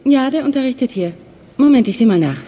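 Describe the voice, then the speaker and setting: An actress playing a polite, efficient university receptionist, giving information matter-of-factly in a sci-fi audio drama. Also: female voice, sits high, expressive, quick pace, dark tone, breathy edge. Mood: polite, helpful.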